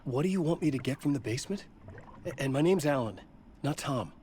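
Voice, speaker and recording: An actor playing a troubled, brooding writer in a video game, with a terse, grave delivery. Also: male voice, fairly high pitch, lively intonation, brisk, darkish tone, close to an everyday voice. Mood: uneasy, questioning. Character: troubled, trapped male writer, brooding and determined. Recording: clear ambient noise behind voice. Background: game ambience.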